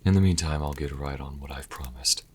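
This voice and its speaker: A man's voice: a bass voice, brisk, a little hiss or hum. A man playing a sassy, scholarly vampire in an audio roleplay, speaking in a wry, leisurely and articulate way. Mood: dryly obliging. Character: sassy, scholarly male vampire, dryly hospitable.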